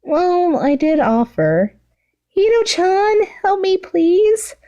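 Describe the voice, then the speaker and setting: Girl putting on a super high gushy teen villainess, clingy and gleeful, fanfic story. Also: sing-song, slow, dark tone, full character voice. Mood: whiny, pleading.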